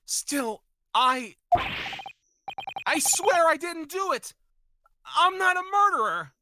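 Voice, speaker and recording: A man's voice: extremely high pitch, big pitch swings, slow, noisy background, pitched above the performer's own voice, heavily acted. A man voicing an anxious young defendant in a video game dub, stammering and pleading as one accused of murder. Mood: desperate protest.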